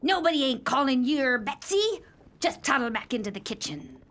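A woman playing a taunting, cruel pirate in a comic radio play, with rough, ungrammatical seadog speech. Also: high-pitched, sing-song, normal speed, fairly bright tone, heavily acted. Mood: bossy. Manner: rough dropped-grammar pirate dialect. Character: taunting, cruel, mock-regal female member of pirate gang.

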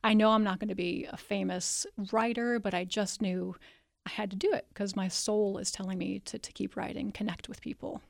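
A creaky-voiced woman you'd hear as the frank, self-deprecating guest on a close, dry studio book interview. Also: mid-range voice, fairly even intonation, normal speed, no echo. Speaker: author being interviewed. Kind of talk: candid personal storytelling in an interview. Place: radio studio, in person.

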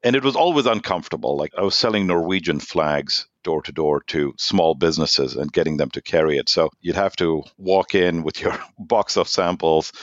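A man in his forties who grew up in Norway, German his first language, answering podcast questions in a measured, explanatory way with restarts. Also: sits low, no room sound, highs cut off. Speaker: guest, sales executive.

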